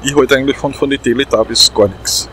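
A man's voice: mid-range voice, steady tone, fast, pushed and bright, slight background noise, low-quality recording. A middle-aged man with an Austrian accent, hosting a let's play in casual, chatty, repetitive phrasing. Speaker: host of a gaming let's play. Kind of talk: casual chat commentary over a game.

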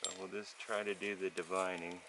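Bright-voiced man explaining a woodworking craft. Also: sits low, fairly quick, vocal fry, a little hiss or hum. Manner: relaxed, explaining while working, soft chuckles. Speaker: canoe builder. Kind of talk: casual hands-on instruction. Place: outdoors in a forest camp.

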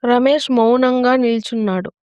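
A woman's voice: a little high, fairly even intonation, normal speed, darkish tone, clean tone, no room sound. A woman reading scripted narration for a family story.

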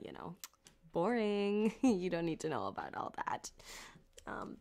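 Slow, whispery flirtatious ripperdoc played by a woman. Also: fairly low pitch, creaky, exaggerated voice. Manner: soft-spoken, often dropping to a whisper, halting and coy. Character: flirtatious, sadistic yandere female cyberpunk ripperdoc, sweetly menacing. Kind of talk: ASMR roleplay addressed to the listener. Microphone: close binaural microphone.

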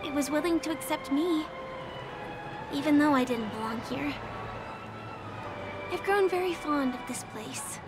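High-voiced gentle young woman, game heroine. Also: measured pace, pauses often. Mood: wistful, tender. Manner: earnest, gentle, polite. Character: gentle young woman performer, soft-spoken, wistful, observant. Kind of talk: video game voice acting. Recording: game music under the voice.

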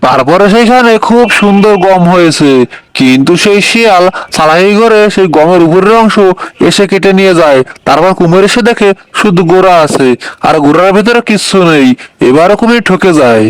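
Guy narrating a kids folk tale, pretty high clean voice, dry close recording. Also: animated, brisk, clean tone, no echo, low-quality recording. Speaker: storyteller.

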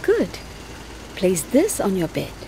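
A woman narrating a scripted guided meditation softly and gently, often close to a whisper, with long unhurried pauses. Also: medium pitch, huge pitch swings, a little hiss or hum, rough recording. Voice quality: soft, breathy, often near whisper.

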